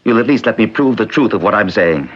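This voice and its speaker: An actor playing an earnest, determined man who tells his own strange story in an old-time radio drama, grave and deliberate. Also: on the low side, expressive, husky, muffled recording. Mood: insistent. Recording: band-limited old radio recording with music behind.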